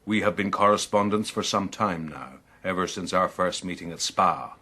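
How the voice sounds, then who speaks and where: An actor playing a suave, self-regarding rogue in a radio drama, husky, wry and florid. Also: male voice, deep voice, moderate pace, sharp tone. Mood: ardent. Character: suave, self-regarding Irish rogue recalling his exploits. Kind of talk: radio drama acting and narration.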